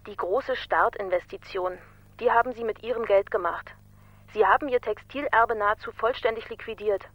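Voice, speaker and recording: A woman's voice: fairly high pitch, normal speed, no echo, lightly acted. Female researcher on a muffled, dry line, informal and wry, radio crime play played by an actress. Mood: wry, informative. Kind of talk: German radio crime drama acting. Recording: dull, dry telephone-like sound.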